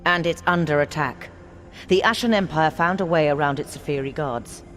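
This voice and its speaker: An actress voicing a stern, middle-aged resistance leader in a video game, grave and authoritative. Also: female voice, sits low, normal speed, fairly bright tone, soft background music. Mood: grave, briefing. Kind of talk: game cutscene briefing.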